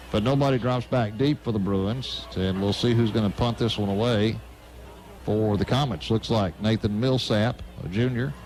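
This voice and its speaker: A man's voice: fairly low pitch, darkish tone, rough recording. An American man doing live radio play-by-play commentary of a football game.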